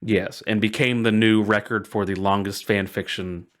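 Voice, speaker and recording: A man's voice: on the low side, fairly even intonation, normal speed, fairly bright tone. A man hosting a podcast in casual storytelling chat, enthusiastic and emphatic, often doubling words for stress. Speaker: podcast host.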